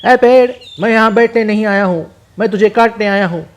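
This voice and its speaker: A man's voice: high voice, fairly quick, lo-fi sound, pitched above the performer's own voice, natural voice. A man voicing a shrewd, confident old village uncle with an assured, admonishing manner.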